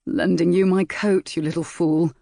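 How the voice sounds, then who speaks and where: A woman reading the lines of a gruff, sardonic young gentleman in an audiobook. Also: high-pitched, level tone, normal speed, no room sound, studio quality. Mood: brusque. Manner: gruff, brusque.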